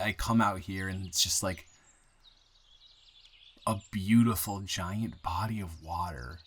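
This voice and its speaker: A man playing a friendly young newcomer to town, chatting casually and haltingly with a close friend in an improvised roleplay audio. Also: sits low, fairly bright tone. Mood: wistful, content. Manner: rambling, halting, self-correcting, conversational. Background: bird and outdoor ambience sound effects.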